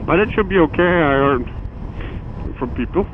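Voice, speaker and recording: A man's voice: on the high side, expressive, moderate pace. A man with a German accent, a native German speaker, chatting in a rambling, self-deprecating way while riding a motorcycle in winter. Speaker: motovlogger. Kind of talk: live motovlog chat while riding. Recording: phone-like audio with strong wind and engine noise. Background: wind and vehicle noise.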